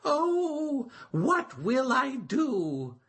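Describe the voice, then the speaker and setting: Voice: high voice, huge pitch swings, very slow, fairly bright tone, husky, pitched above the performer's own voice, heavily acted. A storyteller voicing a fretful little male turtle in a children's storybook, wailing and pleading in an exaggerated way. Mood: anxious wailing.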